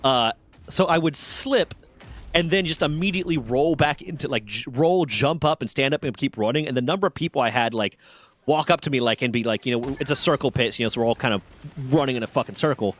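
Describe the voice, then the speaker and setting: A man's voice: mid-range voice, brisk, a bit bright, a little hiss or hum. A man in his thirties streaming a game and chatting casually, rambling and swearing as he tells stories. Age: 30s. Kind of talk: casual gaming chat.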